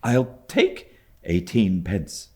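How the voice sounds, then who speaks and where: A man's voice: low voice, huge pitch swings, extremely slow, husky, exaggerated voice. A man voicing a frightened, humble young boy in an audiobook, timid and polite. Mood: meek. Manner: timid, trembling.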